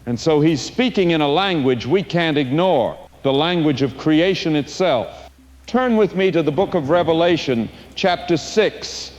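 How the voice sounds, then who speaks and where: Guy playing a fired-up end times preacher, high voice, big echoey hall. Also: lively intonation, a little slow, muffled recording. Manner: impassioned, preaching cadence. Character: fervent male preacher warning of end times. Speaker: pastor. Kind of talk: sermon. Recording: long hall reverb.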